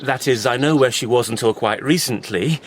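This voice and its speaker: An actor in a Victorian radio drama playing an earnest young man searching for his lost fiancée, halting and hesitant, often breaking into nervous laughter. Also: medium pitch, slight background noise, lightly acted.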